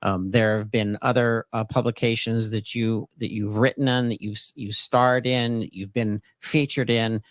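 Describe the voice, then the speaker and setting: A man's voice: fairly low pitch, level tone, normal speed, hardly any pauses, no room sound, clean studio sound. A man hosting an interview podcast, talking in an emphatic, enthusiastic promotional way. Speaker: podcast host.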